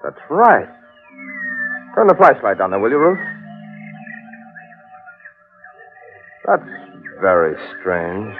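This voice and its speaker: An actor playing an eager, obsessed museum scientist in a 1940s radio drama, with an intense, excitable delivery. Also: male voice, on the low side, unhurried. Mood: puzzled. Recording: tinny old radio recording with music.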